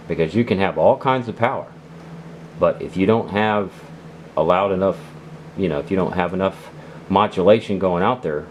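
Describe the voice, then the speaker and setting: Casting: low-voiced male hobbyist, casual hands-on demo talk, rambling and hesitant, clearly noisy recording. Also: darkish tone. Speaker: radio hobbyist reviewer. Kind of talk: casual unscripted product demonstration.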